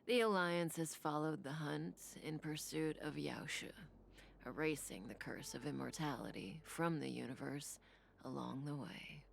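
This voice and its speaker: An actress playing a sly, amused woman villain in a video game, teasing and deliberate with silky delivery. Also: low voice, creaky, slight background noise.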